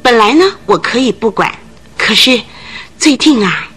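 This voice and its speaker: A woman's voice: on the high side, expressive, normal speed, a bit bright, breathy edge. An actress in a Chinese radio drama playing a thin, fidgety, gossipy landlady of about forty, agitated and full of exclamations.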